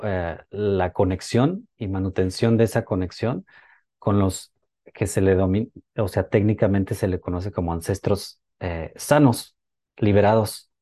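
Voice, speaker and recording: Deep, mellow man speaking gently. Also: dry recording, studio quality. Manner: gentle, hesitant, many fillers and tag questions. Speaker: workshop speaker. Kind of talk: talk on ancestral healing.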